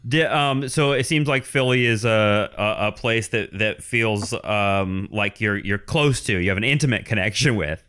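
Halting man hosting a podcast. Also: lowish voice, fairly bright tone, clean tone. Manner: halting, many restarts and fillers. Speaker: podcast host. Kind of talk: conversational podcast interview.

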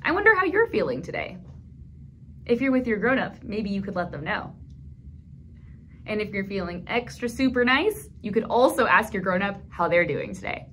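A woman in her thirties leading a toddler storytime, speaking gently in simple phrases for small children as she reads aloud and comments. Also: mid-range voice, very animated, moderate pace, a little hiss or hum. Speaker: children's librarian, storytime host. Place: small indoor room at a library.

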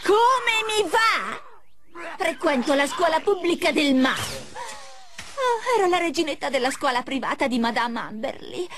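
A woman's voice: sits high, very animated, measured pace, noisy background. A woman voicing a spiteful, sarcastic teenage goth schoolgirl villain in an Italian cartoon game dub, snide and dramatic. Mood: furious.

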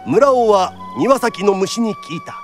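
Man, high-pitched, lively storyteller narration, period radio drama, theatrical delivery. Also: measured pace, faint music behind.

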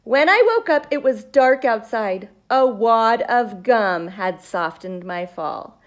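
Small grumpy seed character, acted by a woman, storybook confession, dramatic, highish pitch, slow delivery. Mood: gloomy, brooding. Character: small grumpy sunflower seed trying to be good. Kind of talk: children's storybook read-aloud.

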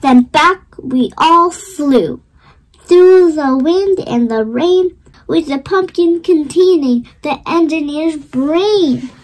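Slow, high-pitched child reading a storybook. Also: animated, rough recording. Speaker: storybook reader. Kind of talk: expressive read-aloud of a rhyming children's storybook.

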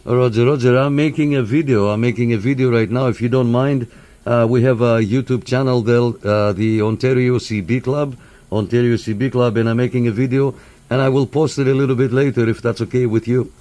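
A man's voice: mid-range voice, steady tone, fairly quick, warm tone. A man working as a CB radio operator and host, making contacts with call signs and casual chat. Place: indoor room by CB radio sets. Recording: clear background static and radio hiss. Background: radio static.

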